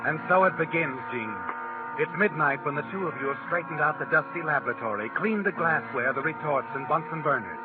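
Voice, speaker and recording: An actor playing an ominous, taunting storyteller narrating a 1940s radio suspense drama. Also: male voice, fairly high pitch, fairly quick, lightly acted. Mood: ominous, knowing. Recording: tinny 1940s radio recording, loud music.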